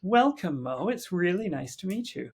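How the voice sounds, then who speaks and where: A man hosting an interview podcast, reading a measured introduction, then asking questions with small restarts. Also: high-pitched, very animated, darkish tone, slightly rough. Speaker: podcast host.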